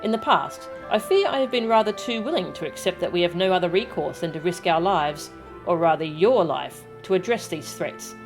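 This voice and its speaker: An earnest young diplomat from a fantasy game, a young man played by a woman. He speaks formally and deliberately, in measured phrases, over background music. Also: lowish voice. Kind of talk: voiced reading of fantasy game dialogue.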